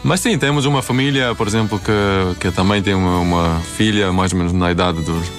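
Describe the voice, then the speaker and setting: A middle-aged man from Finland, a Swedish speaker, answering haltingly as an interview guest in casual conversation. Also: low-pitched, faint music behind. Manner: halting, searching for words in a second language.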